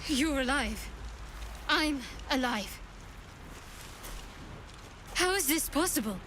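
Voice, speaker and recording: An actress voicing a guarded, grateful adventurer in a video game, speaking in a measured, earnest way, with ambient noise behind. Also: female voice, high voice, animated, fairly bright tone, exaggerated voice. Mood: shaken relief. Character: guarded, grateful female adventurer who survived a crash.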